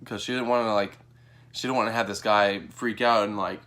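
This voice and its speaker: A man's voice: lowish voice, steady tone, fairly quick, fairly bright tone. A young American man telling a personal story in a casual, conversational vlog style, voicing his own reactions informally. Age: young adult. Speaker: vlogger.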